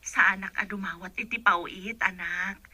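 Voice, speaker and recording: A woman playing a tender, worried middle-aged mother in a radio drama, with a gentle, plaintive delivery. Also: mid-range voice, fairly even intonation, moderate pace, no room sound.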